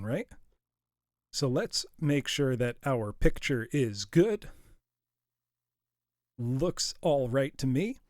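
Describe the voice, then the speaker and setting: A man's voice: medium pitch, a little mellow, dry recording, studio quality. A man streaming a retro game live, chatting casually with viewers he calls his class; his voice is congested and tired. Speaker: streamer playing retro games. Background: game music.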